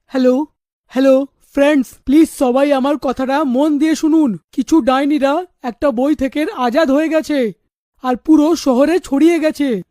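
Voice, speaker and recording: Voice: sits high, steady tone, moderate pace, warm tone, no room sound, clean studio sound, close to an everyday voice. An actor playing an anxious young male college student in a cartoon, speaking urgently and emphatically. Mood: urgent warning. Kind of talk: animated story dialogue.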